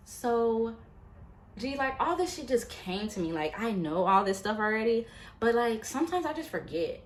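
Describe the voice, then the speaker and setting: A woman in her late twenties talking to her YouTube viewers in a casual, animated, chatty way, full of fillers. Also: on the high side, normal speed. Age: late 20s. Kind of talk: casual motivational vlog. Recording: echoey room, faint ambience.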